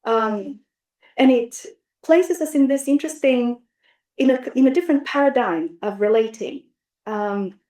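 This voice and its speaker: A woman's voice: high-pitched, measured pace, mellow. A woman whose first language is Bulgarian, talking thoughtfully in a roundtable on translation, with frequent restarts and fillers. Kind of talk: reflective academic conversation. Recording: roomy sound, distant mic.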